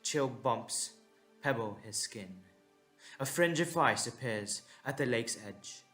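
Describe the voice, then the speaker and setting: A man narrating a scripted audio drama in a measured, suspenseful manner, with deliberate dramatic pauses. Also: medium pitch, animated, pushed and bright, faint music behind.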